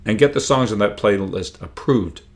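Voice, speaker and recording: A man's voice: fairly low pitch, lively intonation, moderate pace. A man voicing a patient but firm woman schoolteacher in a book reading, with a dry, matter-of-fact manner.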